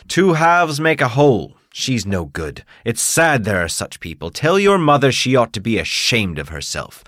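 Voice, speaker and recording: A man's voice: mid-range voice, big pitch swings, normal speed, a bit bright, no echo, studio quality, voice pushed up. A man voicing a pompous, sanctimonious mayor who lectures in a scolding tone. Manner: preachy, scolding. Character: pompous, sanctimonious middle-aged male mayor.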